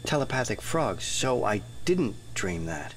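A man's voice: medium pitch, very animated, a little slow, slight background noise, rough recording. A man playing a concerned, puzzled geologist in an audio drama, full of questions.

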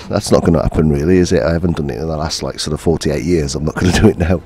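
A man in his fifties with a gruff voice, hoarse from a cold, chatting casually and self-mockingly while playing a game. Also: very deep voice, fairly even intonation, fast, dark tone. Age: 50s. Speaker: gaming channel host. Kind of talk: casual let's-play commentary. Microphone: headphones. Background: game music.

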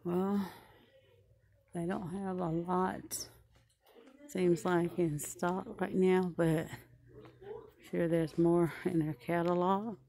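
A woman's voice: lowish voice, fairly even intonation, slow, gravelly, pauses often, dark tone. A woman shopping vlogger giving casual running commentary as she browses a large furniture showroom.

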